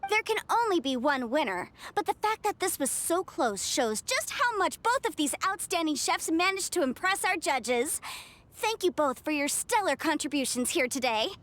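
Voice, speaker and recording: A woman's voice: high voice, very animated, fairly bright tone. A woman voicing a poised, ceremonious contest officiator in a video game, speaking formally. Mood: formal, gracious. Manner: measured, ceremonious. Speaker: competition announcer. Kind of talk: formal event announcement in game voice acting. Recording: music behind the voice. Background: background music.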